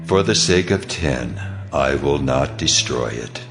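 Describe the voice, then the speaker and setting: A man's voice: rumbling low, animated, takes it slowly, vocal fry, a bit bright, faint music behind, exaggerated voice. A man voicing a solemn, authoritative God in a dramatized scripture reading. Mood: solemn, calm. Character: the Lord God, solemn, mighty, merciful male deity.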